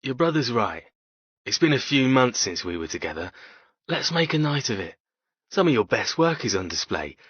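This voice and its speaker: A woman voicing a doting, proud middle-aged father in an audiobook, affectionate and gently persuasive in manner. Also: rumbling low, big pitch swings, moderate pace, husky, no room sound, muffled recording, pitched below the performer's own voice, full character voice. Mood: proud, encouraging. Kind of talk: audiobook character dialogue.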